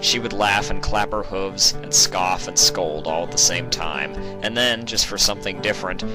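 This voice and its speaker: A man's voice: deep voice, low-quality recording. A man reading a story aloud as scripted narration. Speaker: narrator reading fan fiction. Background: instrumental guitar music.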